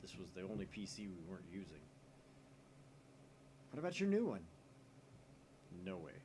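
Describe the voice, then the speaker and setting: A man's voice: sits low, wildly swooping pitch, moderate pace, voice pushed down. Visual novel role: young male science geek, dry and argumentative, read by a man, slightly noisy home recording. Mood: dry, bickering. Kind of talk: dialogue read aloud.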